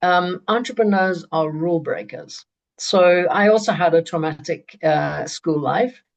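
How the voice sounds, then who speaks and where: Host, woman from Zambia, slightly low voice, eager, stumbles and restarts often. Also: vocal fry. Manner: halting, many restarts, enthusiastic. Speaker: webinar host. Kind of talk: hosting an online webinar.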